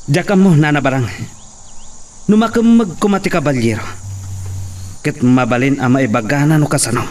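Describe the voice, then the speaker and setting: Actor as menacing henchman, gruff, commanding, slightly high male voice, radio drama, off-mic with chirping. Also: moderate pace, a little mellow, far from the mic, close to an everyday voice. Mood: stern. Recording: off-mic, faint noise with cricket-like chirping.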